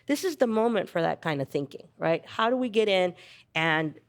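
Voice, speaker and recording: A woman's voice: lowish voice, lively intonation, normal speed. A woman in her fifties speaking as a panel guest, reflective and emphatic, often checking in with "right?". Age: 50s. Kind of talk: panel discussion, conversational.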